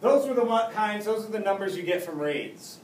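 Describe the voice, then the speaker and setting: A man's voice: high-pitched, animated, moderate pace. Joking male teacher in an echoey classroom. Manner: joking, chatty, with many restarts. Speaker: high school history teacher. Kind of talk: casual classroom lecture. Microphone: camera far across the room. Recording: echoey classroom, faint hum, mic far off.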